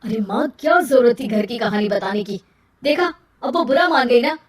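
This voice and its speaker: A woman voicing a self-centred, spoiled young man with a blunt, insistent manner in an animated moral story. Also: a little high, normal speed, close to an everyday voice. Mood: blunt, annoyed. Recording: roomy echo, distant from mic.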